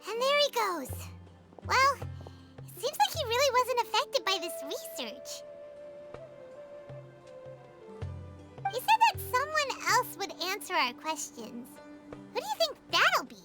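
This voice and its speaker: Squeaky, very high little fairy girl. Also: big pitch swings, a little slow, exaggerated voice. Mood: chatty. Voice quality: squeaky, childlike. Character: small childlike floating fairy girl companion, excitable and curious. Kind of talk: video game voice acting. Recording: loud music under voice.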